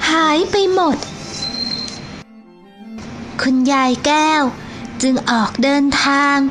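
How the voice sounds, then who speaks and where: A woman teacher narrating a children's storybook slowly and gently. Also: high-pitched, soft background music. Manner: slow, gentle and clearly paced for children.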